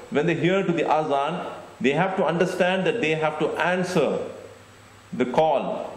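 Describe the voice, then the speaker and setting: A middle-aged man giving a deliberate, explanatory Islamic lecture into a handheld microphone, often repeating phrases. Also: on the high side, darkish tone. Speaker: Islamic preacher. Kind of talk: religious lecture. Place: indoor stage with curtain backdrop. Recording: echoey room.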